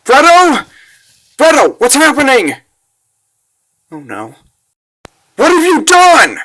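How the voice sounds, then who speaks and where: A man's voice: very high-pitched, huge pitch swings, takes it slowly, bright, forceful tone, a little hiss or hum, voice pushed up, exaggerated voice. A man voicing an earnest, spirited young girl in a comic dub, with emotional, exclamatory delivery. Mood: panicked.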